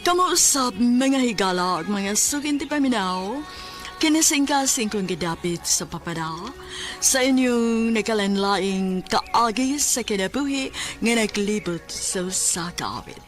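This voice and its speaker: Slow, measured woman radio announcer. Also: mid-range voice, lively intonation, soft background music. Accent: Cebuano. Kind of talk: scripted broadcast narration.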